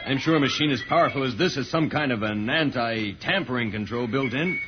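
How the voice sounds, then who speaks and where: An actor playing a calm, patient heroic space leader, measured and reasonable, in an old-time radio serial. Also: male voice, medium pitch, over loud music, close to an everyday voice. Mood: patient, grave. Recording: muffled, noisy vintage radio recording.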